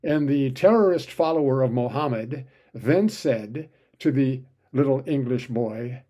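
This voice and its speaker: Sardonic man with a mellow voice. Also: mid-range voice, a little slow. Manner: sardonic, sarcastic asides. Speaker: commentator. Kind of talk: opinion commentary monologue.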